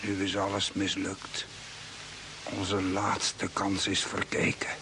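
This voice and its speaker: A fatalistic guide on Mars in an old radio serial. His voice is on the low side, measured and sombre. A clear buzzing noise runs behind it. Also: monotone. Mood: defeated. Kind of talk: mid-century Dutch radio drama acting.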